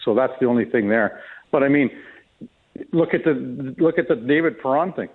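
A man's voice comes through a narrow, phone-like connection, sitting at an ordinary middle pitch. He talks sports casually as a guest commentator, offering folksy opinions in a conversational way. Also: moderate pace, husky. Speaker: hockey commentator, guest on a sports radio show. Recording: tinny narrow phone-like line.

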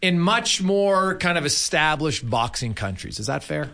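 Bright-voiced male sports interviewer. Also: on the high side, big pitch swings, measured pace, gravelly. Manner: engaged, wordy questions. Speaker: interviewer, radio host.

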